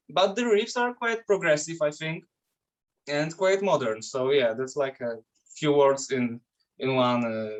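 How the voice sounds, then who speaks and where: Polish-accented metal vocalist, casual and higher-voiced. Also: male voice, fairly bright tone, low-quality recording. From Poland. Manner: loose, swearing, halting with restarts. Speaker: metal band vocalist, guest. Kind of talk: casual interview chat.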